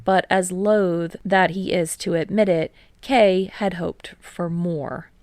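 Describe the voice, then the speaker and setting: Low-pitched woman narrating fiction, close and dry. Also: steady tone, slow pace, a little mellow, dry recording. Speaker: audiobook narrator of fan fiction. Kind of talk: scripted story narration.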